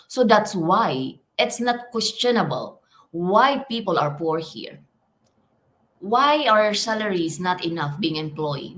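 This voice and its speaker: A woman with a Filipino accent, a guest coach giving animated motivational talk on a video call. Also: fairly low pitch. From Philippines. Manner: animated, switching between English and Tagalog.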